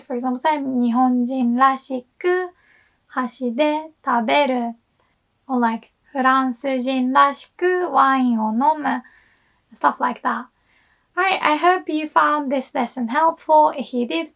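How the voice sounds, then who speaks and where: Hoarse, slow-talking woman teacher. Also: sits high. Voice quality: hoarse, gravelly. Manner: relaxed, chatty explaining with many fillers. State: hoarse from illness. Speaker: Japanese language teacher. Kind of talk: casual online language lesson.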